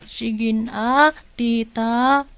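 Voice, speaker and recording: A woman's voice: a little high, steady tone, drawn-out words, a bit bright, smooth, no room sound, exaggerated voice. A woman playing a curious young child who wheedles and pleads in an Ilocano radio drama. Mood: wheedling, eager.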